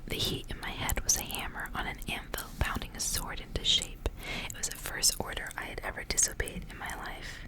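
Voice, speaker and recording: A woman reading aloud in a soft, breathy, unhurried whisper, in the style of an ASMR storyteller.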